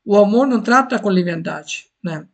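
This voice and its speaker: High, creaky-voiced Italian man teaching. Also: animated, fairly bright tone. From Italy. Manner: halting, repetitive, frequent tag questions seeking agreement. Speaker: Bible teacher. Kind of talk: informal Bible teaching to camera.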